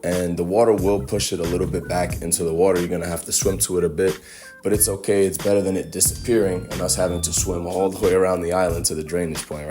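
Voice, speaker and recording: A young man with a very low voice talks through a game run in a relaxed, chatty way, with plenty of ums and uhs. His voice is a little hoarse from a cold. Loud game music plays clearly behind him. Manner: laid-back, chatty, with many fillers. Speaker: gaming guide creator. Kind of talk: casual game walkthrough commentary.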